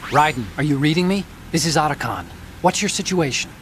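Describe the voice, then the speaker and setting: A man's voice: on the high side, lively intonation, a little fast, slight background noise, close to an everyday voice. A man voicing an earnest, brainy and troubled tech expert in a dramatic video game, explaining things carefully. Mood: concerned. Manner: earnest, explanatory, hesitant on personal matters. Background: music.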